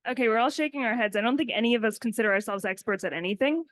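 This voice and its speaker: A woman's voice: fairly high pitch, racing, a bit bright, no echo, studio quality. A woman speaking candidly and informally as a panelist, in a conversational style. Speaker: panelist, software developer. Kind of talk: conversational conference panel talk.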